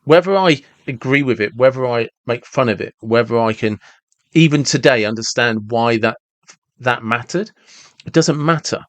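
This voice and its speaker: A man's voice: medium pitch, normal speed, no echo. A man in his forties talking reflectively and casually as a podcast host, often repeating and rephrasing himself as he goes. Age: 40s.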